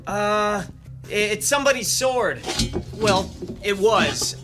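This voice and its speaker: A deferential stagehand in a radio drama. He is high-voiced, hesitant and sheepish, with music clearly heard underneath. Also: animated, slow pace. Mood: apologetic. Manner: hesitant, apologetic.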